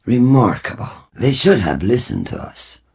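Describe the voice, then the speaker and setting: Solemn war cult leader from a video game, husky, formal and portentous, pitch moves around a lot. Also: male voice, huge pitch swings, normal speed, full character voice. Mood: grave, measured. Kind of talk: scripted video game character dialogue.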